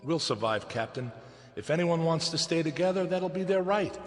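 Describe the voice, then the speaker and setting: A man's voice: a little high, animated, moderate pace, in a large hall, soft background music, close to an everyday voice. An actor playing a defiant, plain-spoken male colonist in a radio drama, blunt and earnest.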